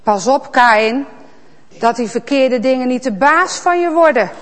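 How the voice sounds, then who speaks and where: Woman doing a fatherly deity, slow and earnest, in a reverberant church. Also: sits high, expressive. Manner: gentle warning, earnest. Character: God, kind but stern fatherly deity.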